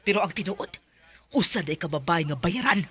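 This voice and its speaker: A woman's voice: lowish voice, expressive, normal speed, a bit bright, slightly rough, no room sound, heavily acted. A woman voicing a domineering, disapproving mother in a radio drama, scolding her son. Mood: contemptuous. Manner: scornful, scolding.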